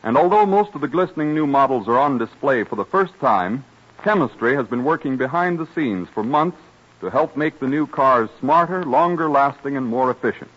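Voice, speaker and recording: A man's voice: mid-range voice, lively intonation, normal speed, fairly bright tone, muffled recording. A man reading a scripted sponsor message as a 1930s radio announcer, formal and polished, on a vintage recording.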